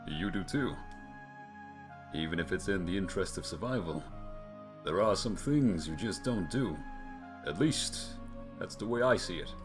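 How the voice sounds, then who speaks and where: Man doing a low, plain-spoken gruff mercenary. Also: expressive, unhurried, pauses often, music in the background. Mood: reassuring, earnest. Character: gruff, modest, loyal male mercenary drinking companion. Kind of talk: acting out video game dialogue.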